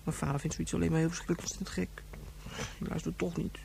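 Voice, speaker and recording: An actress playing a headstrong, excitable young boy with insistent, overblown childish speech in a radio play. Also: deep voice, fast talker, gravelly, slight background noise. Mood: sulky, stubborn. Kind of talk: Dutch radio play acting.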